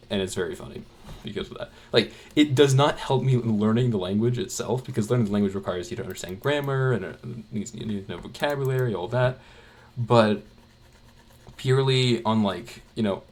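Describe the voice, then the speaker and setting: A young man chatting casually while he draws, rambling with many fillers and restarts. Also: lowish voice. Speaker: art channel creator. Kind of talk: casual chat while drawing.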